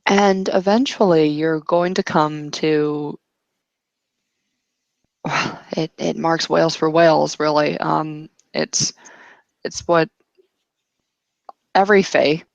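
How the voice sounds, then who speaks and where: Creaky, low-voiced woman narrating a roleplaying game. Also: fairly even intonation, slow pace, long pauses, no echo, dull audio. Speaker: game master. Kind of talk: improvised tabletop roleplay narration.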